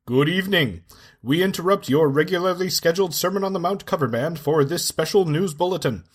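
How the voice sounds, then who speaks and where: A man's voice: a little high, fairly quick, breathy edge. A man playing a pompous, alarmist cable news anchor in a parody bulletin for radio drama. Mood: grave, smug. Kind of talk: parody breaking-news delivery.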